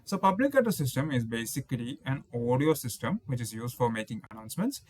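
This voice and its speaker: Male tutor, medium pitch, methodical lecture delivery that repeats key points, recorded dry. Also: very animated, a little fast, darkish tone, breathy edge, no room sound. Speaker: instructor. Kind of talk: explanatory technical lecture over slides.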